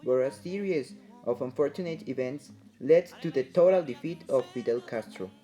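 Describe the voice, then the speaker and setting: Mellow guy w a reading history narration, guitar music behind him. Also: mid-range voice, normal speed. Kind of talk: scripted narration of a student-style history newsreel. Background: guitar music under the voice.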